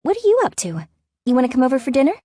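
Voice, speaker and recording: A woman voicing an excitable, blunt sister in an audiobook, bubbly and exclamatory, easily shocked. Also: high voice, rapid-fire, dry recording, clean studio sound, pitched above the performer's own voice, heavily acted. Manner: shrieking, exclamatory.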